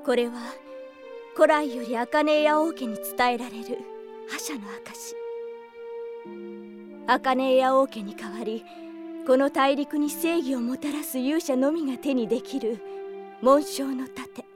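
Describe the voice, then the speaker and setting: A gracious young princess, high in pitch and solemn in tone. Her words are formal and ceremonious, radio drama style, with music clearly behind her. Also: a little slow, long pauses.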